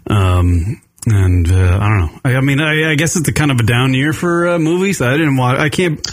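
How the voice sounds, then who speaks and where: Lively-voiced man bantering on radio. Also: medium pitch, moderate pace. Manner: joking, crude banter. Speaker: host. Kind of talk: casual radio chat.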